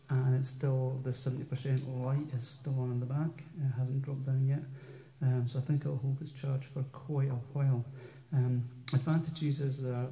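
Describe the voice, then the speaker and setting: A man in his fifties with a very quiet voice, demoing an amp in a small room of an old house, talking casually with many ums and restarts. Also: mid-range voice. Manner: hesitant, full of ums and restarts. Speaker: musician demoing an amplifier. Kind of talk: casual product demo. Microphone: stand microphone through an amplifier with reverb. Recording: roomy, reverberant sound through an amp.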